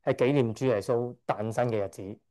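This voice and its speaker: A man's voice: mid-range voice, a little fast, mellow. A middle-aged man from Hong Kong giving a church testimony, hesitant, often correcting himself and chuckling shyly. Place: church service.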